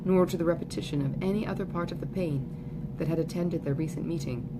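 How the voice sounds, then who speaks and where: Woman narrating an old novel, kinda low voice, measured and articulate, clear background noise on the home recording. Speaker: audiobook reader. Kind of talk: literary narration of a classic novel.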